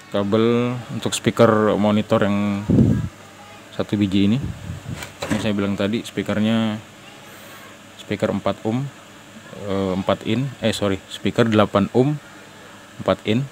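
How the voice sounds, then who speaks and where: A man with a fairly low voice talks informally as he demonstrates something by hand. His delivery is halting, with pauses mid-phrase. A faint noise sits behind him in the recording. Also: steady tone. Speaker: host of a DIY audio channel. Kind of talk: casual hands-on demonstration.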